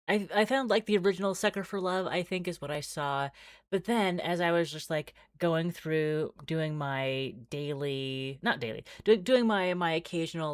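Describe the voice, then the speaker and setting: A woman game streamer chatting casually as she plays, halting and thinking aloud. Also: low voice, no echo, clean studio sound. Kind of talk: casual livestream chat while playing visual novels.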